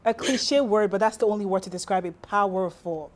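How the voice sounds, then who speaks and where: A woman's voice: mid-range voice, brisk. A woman from Nigeria, hosting an interview and responding with admiring encouragement. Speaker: interview host. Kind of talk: interview conversation.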